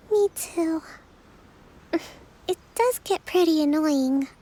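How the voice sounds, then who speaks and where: A woman playing a young priestess leader in an anime-style game, gentle and composed with a weary edge, speaking politely and diplomatically. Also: very high voice, extremely slow, a little hiss or hum, exaggerated voice, poor audio. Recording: faint music behind the voice.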